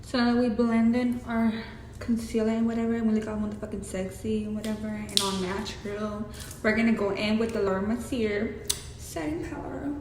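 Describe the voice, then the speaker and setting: A woman's voice: fairly high pitch, steady tone, brisk. A young woman with a Mexican accent doing a casual, profane makeup tutorial with chatty asides. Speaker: beauty vlogger. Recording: echoey room with hiss.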